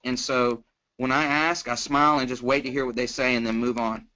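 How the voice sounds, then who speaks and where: Male guest sales trainer, down-to-earth and folksy, very bright edge, casual delivery, lo-fi call sound. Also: flat delivery, brisk. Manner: plain-spoken, folksy, acts out sample pitches. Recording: dull, lo-fi conference call line.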